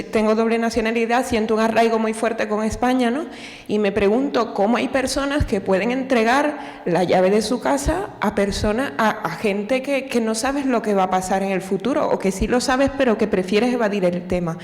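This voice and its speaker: A woman from Cuba with a Cuban accent asking a hesitant question from the audience at a lecture. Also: mid-range voice. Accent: Cuban Spanish. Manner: hesitant, searching for words, tag questions. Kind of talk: question from the floor. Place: large lecture hall. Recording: roomy hall with long reverb.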